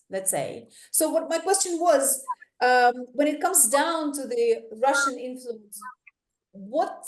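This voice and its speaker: A woman moderating a panel, framing a long question with hedges and fillers. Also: sits high. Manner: wordy, hedging question with fillers. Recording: echoey room.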